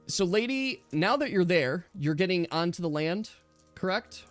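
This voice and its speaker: Game master with lively, swinging pitch. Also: male voice, unhurried, no room sound. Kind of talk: narrating an online tabletop role-playing game. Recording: music behind the voice. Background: music.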